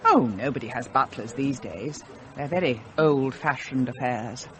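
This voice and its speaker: A woman's voice: a bass voice, normal speed. A woman playing a magnate's cool, blunt grown daughter in a radio drama, direct and dismissive. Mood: probing, dismissive.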